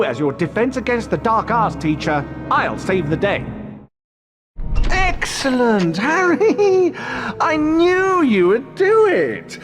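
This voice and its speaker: A man's voice: high-pitched, exaggerated voice. A man playing a vain, flamboyant wizard teacher in a video game, pompous and self-admiring.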